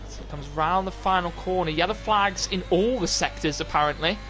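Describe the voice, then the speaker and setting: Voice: mid-range voice, a little fast, fairly bright tone, faint music behind. A commentator giving live play-by-play of an online motor race on a stream. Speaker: esports racing commentator.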